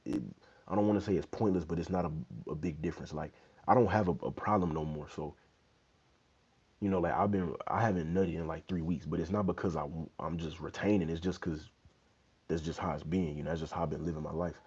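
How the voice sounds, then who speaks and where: A man's voice: low-pitched, fairly even intonation, fairly quick, a little mellow, breathy edge. A young man in his twenties hosting a casual fitness livestream from a small bedroom, answering questions in a laid-back, slangy way through an earbud mic. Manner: laid-back, loose slang, many "you know what I'm saying". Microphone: wired earbud mic, phone held low. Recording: dull lo-fi phone audio.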